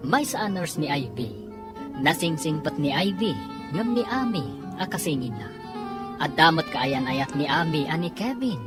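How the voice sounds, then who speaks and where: A woman narrating and announcing a radio drama in a scripted, dramatic style. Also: deep voice.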